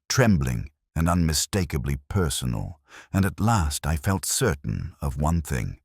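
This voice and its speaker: A man playing a brooding, introspective police detective, narrating a literary mystery in a measured, solemn, reflective manner. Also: rumbling low, breathy edge, no room sound, studio quality, exaggerated voice.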